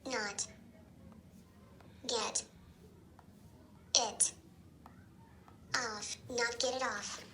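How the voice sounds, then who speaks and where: A woman's voice: a little high, fairly even intonation, drawn-out words, long pauses, sharp tone, slight background noise. A synthetic woman's voice on a speech device, echoing key words in clipped, separate pieces. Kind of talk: synthetic word-by-word read-out.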